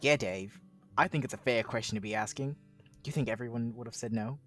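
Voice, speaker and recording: A man's voice: medium pitch, a little fast, a bit bright. A man reading visual novel narration and dialogue aloud in a casual way, with slangy reactions. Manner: informal, slangy asides. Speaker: co-reader in a visual novel let's play. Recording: faint music behind the voice. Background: faint guitar music.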